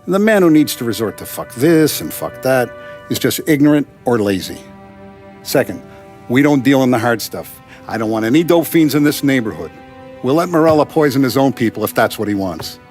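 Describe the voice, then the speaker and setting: An actor playing a paternal, authoritative Italian-American mafia boss in a 1930s crime game, speaking deliberately. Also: male voice, medium pitch, a little mellow, natural voice. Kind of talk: cinematic video game acting. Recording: music behind the voice.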